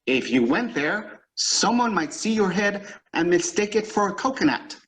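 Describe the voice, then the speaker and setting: A man acts out an impatient office boss in a radio comedy, blustering and stammering his orders. His voice sits high and swoops up and down with exasperation. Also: big pitch swings, normal speed, fairly bright tone, a little hiss or hum. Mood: exasperated, blustery. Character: flustered, impatient boss with a dictation-taking secretary. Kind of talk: old-time radio comedy acting.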